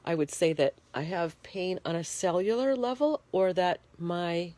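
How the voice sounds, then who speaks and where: A woman with a low, dry-sounding voice speaks frankly into a phone she holds in her hand, in her bedroom. She is congested and shivering as she talks, and she stops often with fillers. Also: warm tone, no room sound. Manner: plain-spoken, frank, halting with fillers. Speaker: vlogger. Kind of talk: casual personal video diary. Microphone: phone held in hand.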